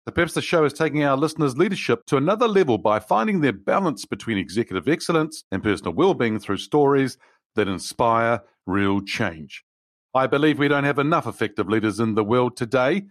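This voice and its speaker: A man's voice: medium pitch, no echo, studio quality. A man hosting a leadership podcast, reading his opening and then interviewing a guest in an upbeat, emphatic way. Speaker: podcast host. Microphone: video call.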